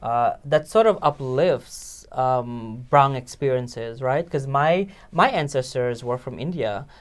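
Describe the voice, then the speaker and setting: A man speaks earnestly as a guest in a casual interview chat. His voice sits in a middle pitch. He pauses on fillers and often ends a point with a tag question.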